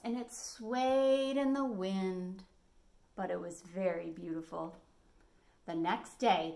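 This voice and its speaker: An American woman in her forties reading a picture book expressively as a storytime host, phrasing clearly for children. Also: mid-range voice. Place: small living room at home, indoors.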